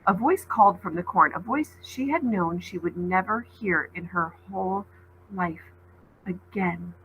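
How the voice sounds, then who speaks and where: A woman reading a children's novel aloud as a teacher, in expressive storybook narration. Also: fairly low pitch, measured pace, slight background noise, low-quality recording.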